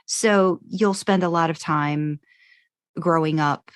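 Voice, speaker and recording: A woman with a low voice, recorded close and dry. She co-hosts a podcast, chatting casually and letting her thoughts run on in loose, trailing pieces. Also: takes it slowly, no echo. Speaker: podcast co-host. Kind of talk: casual chat about fan fiction.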